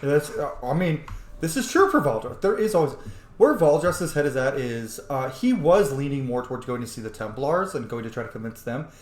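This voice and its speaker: A man's voice: medium pitch, sing-song, fairly quick, reverberant. A man giving casual, informal let's-play roleplay commentary with some swearing. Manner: informal, profane. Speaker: streamer, self-styled dungeon master.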